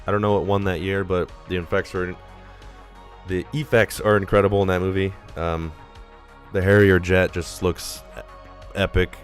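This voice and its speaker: A man hosting a live movie-review stream, talking casually and rambling, a little nasal from being sick. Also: low voice. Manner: rambling, casual, swears freely.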